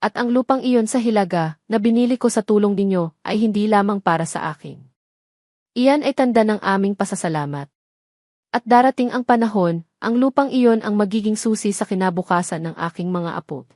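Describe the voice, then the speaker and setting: A woman's voice: medium pitch, a little fast, darkish tone, dry recording, natural voice. A solemn grandmother, voiced by a woman, speaking her grateful letter in an audio drama. The wording is formal and careful. The sound is dry. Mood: solemn gratitude.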